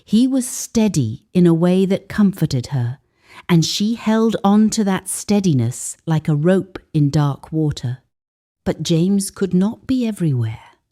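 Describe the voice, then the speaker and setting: A woman narrating a scripted folktale as a storyteller, in a measured, deliberate and dramatic way with weighted pauses. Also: on the low side, mellow.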